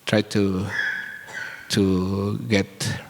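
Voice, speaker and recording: Man, low and flat, halting, telling his story in a reverberant hall. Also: slight background noise. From Guatemala. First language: Mam. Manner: halting, searching for words. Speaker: workshop participant. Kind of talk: storytelling at a community workshop. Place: community hall, live event. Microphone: handheld mic.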